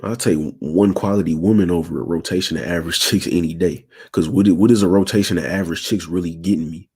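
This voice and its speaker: A man in his twenties with a raspy voice and a sore throat, talking casually to viewers on his livestream and checking in with slangy asides. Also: deep voice, steady tone, fast, a little mellow. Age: 20s. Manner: slangy, keeps asking "you feel me". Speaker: channel host.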